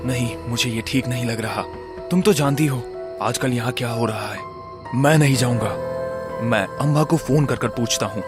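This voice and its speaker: A man playing a cautious, earnest villager in an animated horror story. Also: medium pitch, moderate pace, music in the background, natural voice. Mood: wary, uneasy. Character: wary, serious village man in horror cartoon. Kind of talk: Hindi animated story voice acting.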